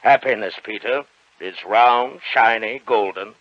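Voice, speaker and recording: A man's voice: fairly low pitch, unhurried, dry recording. An actor playing a cold, greedy, middle-aged rich father in an old radio drama, sneering and dismissive. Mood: mocking. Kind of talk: 1940s radio acting. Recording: dull lo-fi old radio recording.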